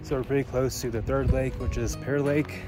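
A young man from Texas talks into a phone held at arm's length on a high mountain trail. He sounds worn out from the altitude and keeps an easygoing pace, full of little pauses and fillers. His voice sits at an ordinary middle pitch, with music playing clearly underneath. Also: steady tone. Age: young adult. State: tired from altitude. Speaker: travel vlogger. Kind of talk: casual hiking vlog with voice-over narration. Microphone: phone camera held at arm's length.

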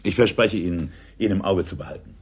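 Urbane spy chief, radio play, very low husky voice, deliberate, authoritative. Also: male voice. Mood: measured, authoritative. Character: senior intelligence chief, urbane, matter-of-fact, authoritative older man. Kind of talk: German radio drama acting.

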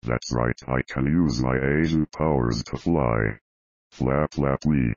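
A man's voice: rumbling low, takes it slowly, darkish tone, no echo, lo-fi sound, heavily acted. A man voicing a dim, boastful male sidekick hero with a goofy, dragging delivery in a homemade cartoon.

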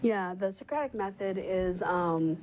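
A woman's voice: medium pitch, unhurried, darkish tone, a little hiss or hum. A woman in her thirties hosting a live studio webcast panel, chatty with frequent fillers and restarts, on a lapel mic. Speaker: host, program coordinator. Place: TV studio set.

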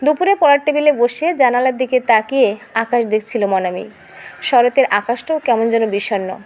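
Woman, high-pitched voice, story narrator, scripted dramatic reading, expressive and emotive delivery. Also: moderate pace.

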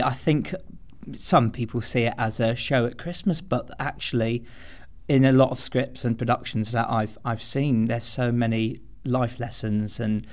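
A man's voice: medium pitch, darkish tone. A man speaking as a student guest on a podcast, enthusiastic and earnest in a conversational way. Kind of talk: conversational interview answers.

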